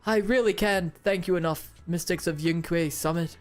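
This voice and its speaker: A performer voicing an earnest, grateful activist in scripted game dialogue. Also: female voice, on the low side, moderate pace, faint music behind, natural voice.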